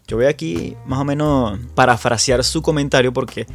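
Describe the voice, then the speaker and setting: A man's voice: medium pitch, normal speed, soft background music. A man with a Venezuelan accent hosting a tabletop gaming podcast in a chatty, casual way, often restarting and repeating words. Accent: Venezuelan Spanish. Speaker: podcast host.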